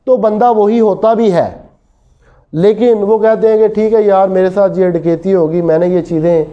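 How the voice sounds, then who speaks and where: A man with a Pakistani accent giving an explanatory classroom law lecture, switching between languages mid-sentence. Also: high voice. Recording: dull lo-fi audio.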